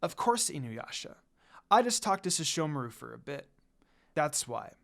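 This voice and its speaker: A reader voicing a calm, softly affectionate young woman from a fan story. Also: mid-range voice, normal speed, gravelly. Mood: calm, explaining.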